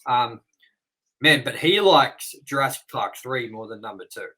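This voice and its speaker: A man's voice: mid-range voice, expressive, unhurried, sharp tone, breathy edge, low-quality recording. A man in his thirties co-hosting a movie review show, chatting casually and telling rambling stories with casual swearing.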